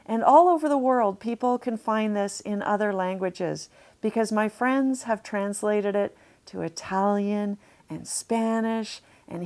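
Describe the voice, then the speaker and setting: A woman narrating a children's storybook aloud, deliberately and expressively, lingering on key words. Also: medium pitch, darkish tone. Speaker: author reading a children's story.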